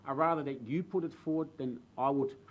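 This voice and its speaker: A man from the Netherlands with a Dutch accent, a new councillor speaking earnestly in a council chamber, halting with fillers and restarts. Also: medium pitch, steady tone, narrow, tinny audio, a little hiss or hum. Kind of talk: speech in a council debate. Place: council chamber with public gallery.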